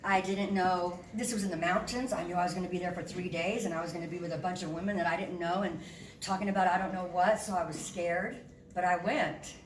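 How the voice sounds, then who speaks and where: A woman in her fifties giving an animated, candid and emotional personal testimony as a guest speaker. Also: fairly low pitch, a little fast. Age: 50s. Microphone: room mic at a distance. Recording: echoey hall from far off, noisy.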